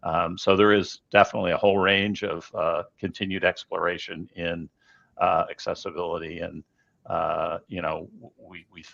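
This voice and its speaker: A man answering interview questions as a journalist guest, explaining in a measured, hesitant way, on slightly dull call audio. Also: low-pitched, level tone, creaky, a bit bright, no room sound.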